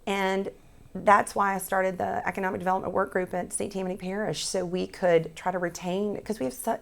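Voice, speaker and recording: A hoarse woman in her forties from South Louisiana, answering interview questions as a Senate candidate, earnest with frequent restarts. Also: medium pitch, flat delivery, brisk. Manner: earnest, many restarts and fillers.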